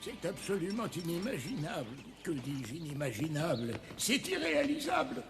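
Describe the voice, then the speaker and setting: An actor playing a formal, flustered elephant courtier with emphatic, pompous delivery in a French cartoon dub. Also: male voice, on the high side, very animated, moderate pace, vocal fry, over music.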